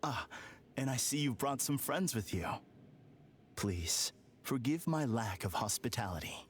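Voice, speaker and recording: A refined male villain from an anime game, acted by a man, with a mid-pitched voice. He is formal, courteous and quietly ominous, over faint noise. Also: animated, unhurried, close to an everyday voice. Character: polite, refined male antagonist, calmly ominous. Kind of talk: anime video game voice acting.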